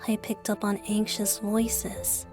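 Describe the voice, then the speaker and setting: Woman doing teasing young boy bard from a video game, light n breezy, takes his time, soft music behind. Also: mid-range voice, level tone, slow, close to an everyday voice. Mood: thoughtful. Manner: light, breezy, mischievous, sometimes whispering. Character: playful, teasing young male wandering bard. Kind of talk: video game dub acting.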